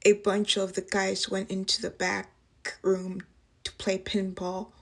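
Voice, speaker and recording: A woman playing a traumatized young waitress in a dramatic monologue, speaking haltingly in broken phrases. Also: mid-range voice, monotone. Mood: uneasy, halting. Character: traumatized young working-class waitress recounting an assault. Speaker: actress performing a film monologue.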